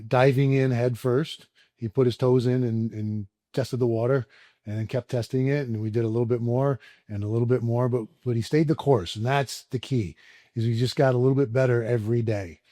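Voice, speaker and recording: A man speaking as a doctor, explaining treatment conversationally in a halting, measured way. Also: medium pitch, mellow, no room sound, studio quality. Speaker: doctor, sponsor guest.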